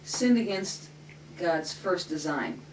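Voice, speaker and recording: Woman's brief prompts over loud chatter. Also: lowish voice, animated, slow pace, poor audio. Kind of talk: group study discussion.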